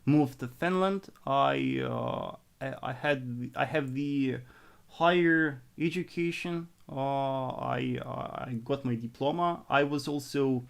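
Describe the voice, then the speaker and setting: Man, Russian accent, young adult, slow-paced, creaky, halting, earnest live Q&A. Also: medium pitch. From northern Russia. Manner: halting and repetitive, conservative and earnest. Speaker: forex trader answering live chat questions. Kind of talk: live stream Q&A.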